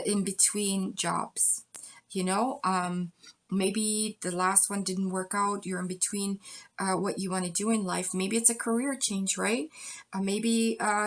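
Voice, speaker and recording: A woman giving a pick-a-card tarot reading, speaking straight to the viewer in a coaching tone with frequent ums. She is losing her voice. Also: mid-range voice. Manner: warm direct address with many ums, coaching tone.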